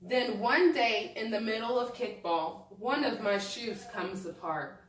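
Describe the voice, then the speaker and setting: Echoey, slow-paced woman teacher reading aloud. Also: a little high. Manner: prompting listeners with questions, measured reading. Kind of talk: picture-book read-aloud with think-aloud questions. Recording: echoey room, distant microphone.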